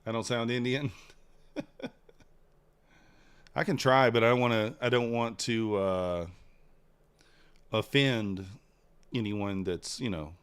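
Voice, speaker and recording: A man's voice: mid-range voice, slow, long pauses, clean tone. A man, hosting a live trading stream in casual chat, rambling and replying to chat. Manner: relaxed, rambling, reads chat aloud. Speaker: livestream trader host.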